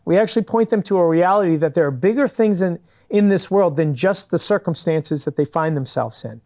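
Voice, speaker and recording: A man's voice: sits high, a little mellow. A man answering questions in a studio interview, speaking earnestly and explaining his views at length with frequent restarts. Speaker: guest, fundraising company founder. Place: public TV studio.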